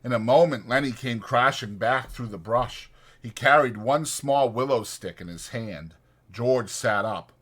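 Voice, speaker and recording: A man's voice: mid-range voice, unhurried, fairly bright tone, slightly rough. A man reading literary fiction as a dramatized audiobook narrator.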